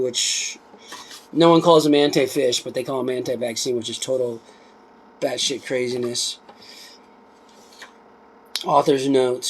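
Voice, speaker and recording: A man reading a book aloud in an informal way, emphatic and deliberate on the text, with offhand asides in between. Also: mid-range voice, slight background noise, low-quality recording.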